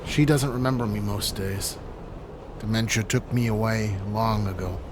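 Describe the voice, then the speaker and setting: A man's voice: fairly low pitch, moderate pace, close to an everyday voice. An actor playing a bitter, weary young man in an audio drama, sarcastic, confrontational and brooding. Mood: weary sorrow. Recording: noisy subway train ambience.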